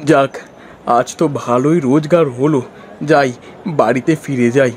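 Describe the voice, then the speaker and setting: A man's voice: medium pitch, steady tone, unhurried, slight background noise, close to an everyday voice. A man playing an earnest, eager village husband and small trader in a cartoon story. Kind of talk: Bengali cartoon story voice acting.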